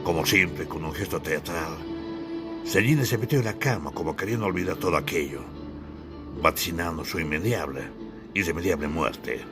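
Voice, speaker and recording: A man from Bolivia narrates an audiobook. His voice sits very low, and he delivers scripted literary prose with theatrical emphasis. Music plays clearly underneath. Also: moderate pace.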